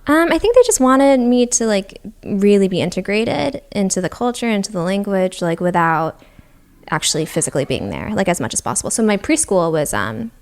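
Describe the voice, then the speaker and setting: A woman's voice: medium pitch, big pitch swings, fairly quick. A young woman in her twenties who grew up in Massachusetts and whose first language was Japanese, chatting as a podcast guest, laughing often and saying "like" a lot. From Massachusetts, USA. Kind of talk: casual chat.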